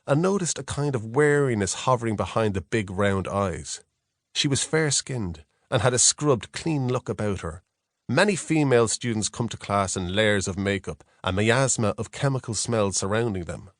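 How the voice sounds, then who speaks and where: A man reads a first-person novel in a measured, reflective storytelling manner. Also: lowish voice, no room sound, studio quality. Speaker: audiobook narrator. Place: close, dry studio.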